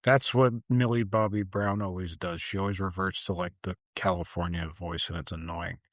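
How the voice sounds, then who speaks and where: A man's voice: sits low, steady tone, normal speed, no echo. A man co-hosting a podcast, talking casually in a blunt, dry, sarcastic way. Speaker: podcast co-host. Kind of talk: casual chat.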